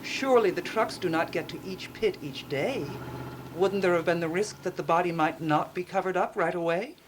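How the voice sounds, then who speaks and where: A woman's voice: fairly low pitch, normal speed, a little hiss or hum, close to an everyday voice. An actress playing a fussy, self-important, eccentric woman sleuth in a radio drama, theatrical and given to pondering aloud. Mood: sceptical.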